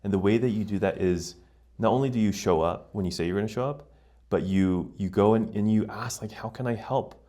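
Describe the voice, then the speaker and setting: A man's voice: fairly low pitch, a little fast, hardly any pauses, warm tone. An American man speaking as a podcast guest in a casual, reflective, explanatory way, often checking in with the listener. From United States. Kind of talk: casual conversational interview.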